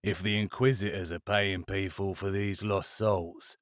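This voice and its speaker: A man voicing a calm, steely male companion who speaks tersely and cautions, in an audiobook. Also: deep voice, unhurried, no room sound, studio quality, voice pushed down. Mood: guarded.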